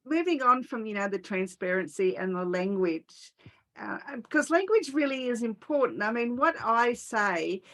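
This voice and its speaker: A woman's voice: a little high, expressive, normal speed, fairly bright tone. A woman hosting an interview in a conversational way, phrasing her thoughts tentatively as she works toward the point. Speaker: podcast host, interviewer.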